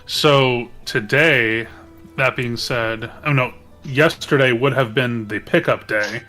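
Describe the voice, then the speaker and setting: A man with a mid-pitched voice plays a tabletop game, talking casually. He works out his moves aloud in a hesitant way, with music playing underneath. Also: takes it slowly, sharp tone.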